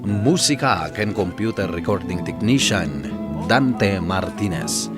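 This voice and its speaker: A male radio host with an Ilocano accent narrates a letter and gives earnest moral advice. His fairly low voice rises and falls in a lively way. Loud guitar music plays behind him on the broadcast. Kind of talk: scripted radio narration and credits.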